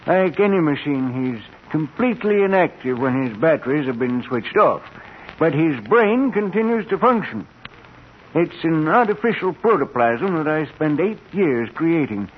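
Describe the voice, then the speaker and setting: An actor playing a proud, kindly old inventor professor in a 1940s radio drama, with an avuncular, showmanlike manner. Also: male voice, a little high, very animated, moderate pace. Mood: proud, eager. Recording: muffled, noisy 1940s radio recording.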